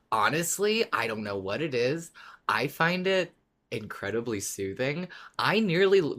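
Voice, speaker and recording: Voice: mid-range voice, fairly bright tone. Podcast co-host, casual chat, wry, agreeable, mid-range voice.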